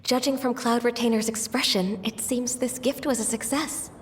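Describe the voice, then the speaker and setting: Woman playing a shy sweet secretary girl, high soft voice, music behind. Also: fairly even intonation, normal speed, lightly acted. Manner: soft-spoken, stammers when nervous. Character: shy, gentle young female secretary.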